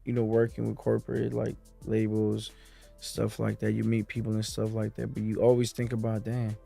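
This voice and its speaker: A man's voice: on the low side, monotone, normal speed, gravelly, warm tone. A man who grew up in Mexico City, a music producer talking as a guest in a casual sit-down interview, full of street slang and "you know" asides.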